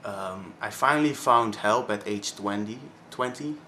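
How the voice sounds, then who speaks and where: A man of twenty-one from Belgium with a Flemish accent, his first language Dutch, speaking haltingly with many pauses in a personal vlog. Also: on the low side, bright, forceful tone, slight background noise. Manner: hesitant, many ums and pauses. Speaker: vlogger.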